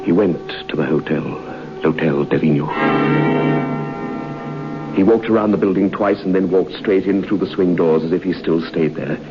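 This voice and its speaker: A man in a vintage radio drama telling a grim story in a grave, measured way. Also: sits low, over music. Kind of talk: 1950s radio drama acting. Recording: dull old radio broadcast with hiss.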